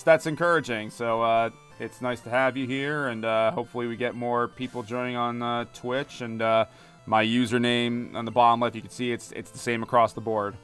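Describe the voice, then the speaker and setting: A talkative young man chats loosely while streaming, full of fillers and little jokes. His voice is smooth and clear at a middling pitch. Soft music sits under his voice. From United States. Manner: rambling, talkative, many fillers, reads chat aloud and jokes. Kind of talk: casual live-stream chat while gaming. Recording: music playing behind.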